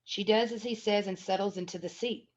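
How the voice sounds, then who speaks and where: A woman narrates a screenplay, reading scene headings and action. Her pitch is average for a woman, and the recording sounds a little dull and lo-fi. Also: steady tone, brisk. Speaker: narrator reading stage directions. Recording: dull, lo-fi audio.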